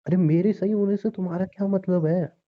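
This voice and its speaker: A man's voice: sits high, fairly even intonation, a little fast, close to an everyday voice. Man acting as resigned, pleading husband, tinny phone sound. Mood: frustrated, pleading. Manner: pleading, insistent, reasoning. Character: injured, resigned husband pressing his estranged wife to divorce. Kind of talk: naturalistic Hindi relationship audio drama.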